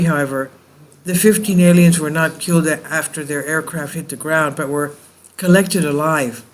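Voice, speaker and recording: A man hosting a video, reading an old news report and adding commentary in measured, halting phrases. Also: on the high side, rough recording. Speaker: video host.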